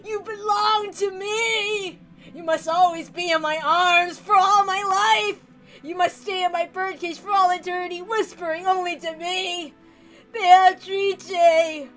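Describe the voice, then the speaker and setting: A woman's voice: extremely high pitch, steady tone, a bit bright, soft background music, pitched above the performer's own voice, full character voice. A woman voicing a deranged, tyrannical old patriarch with theatrical, obsessive rants, reading from a visual novel. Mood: possessive anguish. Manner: theatrical howling rants, drawn-out pleading.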